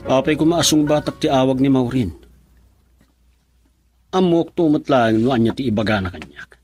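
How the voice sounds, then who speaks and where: An actor playing a selfish straying husband with a Filipino accent, brooding and defiant in a radio drama monologue. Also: male voice, mid-range voice, normal speed, a little mellow, faint music behind, natural voice.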